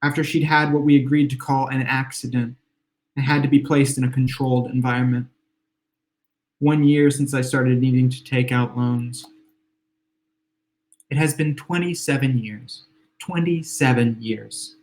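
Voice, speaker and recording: A slightly hoarse man, an author, reading his own literary fiction aloud in a measured, reflective first-person voice at home. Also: mid-range voice. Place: home room with exposed brick.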